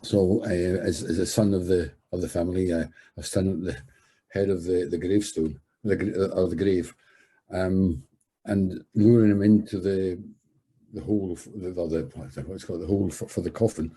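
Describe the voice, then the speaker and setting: A middle-aged or older man telling a personal memory in a halting, reflective way. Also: deep voice, steady tone, mellow, slightly rough. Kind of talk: personal oral history recollection.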